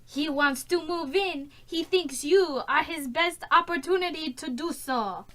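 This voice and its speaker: A woman's voice: high voice, measured pace, fairly bright tone. A woman playing a brusque French gangster emissary with a French accent, haughty and clipped, in a comic radio drama. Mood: haughty, businesslike.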